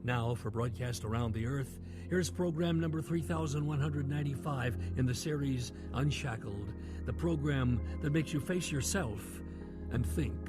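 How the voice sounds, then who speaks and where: A man as announcer and narrator of a radio drama series, reading in a solemn, measured way. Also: medium pitch. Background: music bed.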